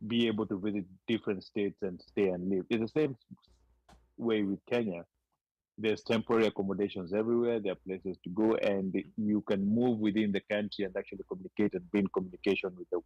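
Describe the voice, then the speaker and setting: Deep-voiced man explaining, dry sound. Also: steady tone, fairly quick, darkish tone, no room sound, dull audio. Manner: explanatory, stumbles and repeats words. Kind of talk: casual conversation.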